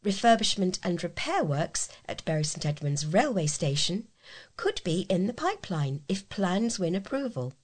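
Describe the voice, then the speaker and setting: Woman volunteer reading local news bits off a script, voice a little on the low side. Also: big pitch swings, moderate pace. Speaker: volunteer newsreader.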